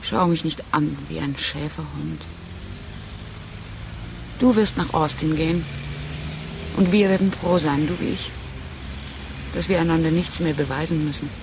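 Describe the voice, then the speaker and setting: A woman's voice: low-pitched, unhurried, creaky, long pauses, noisy background. An actress in a radio drama playing a proud, reproachful woman leaving her partner, insistent and speaking in clipped, accusing phrases. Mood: bitter, resolute. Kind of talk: restrained radio drama dialogue.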